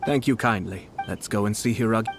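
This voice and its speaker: An actor playing a composed, shrewd young nobleman in a video game, speaking in a measured, formal, courteous way. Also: on the low side, noisy background, natural voice. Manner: measured, formal, politely understated.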